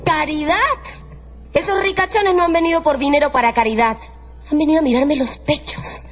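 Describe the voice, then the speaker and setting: A woman's voice: sits high, fairly even intonation, normal speed, close to an everyday voice. A woman playing a haughty, mercenary young courtesan and actress in a theatrical radio drama. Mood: indignant. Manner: theatrical, commanding. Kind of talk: Spanish-language radio drama acting. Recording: dull radio drama recording with music.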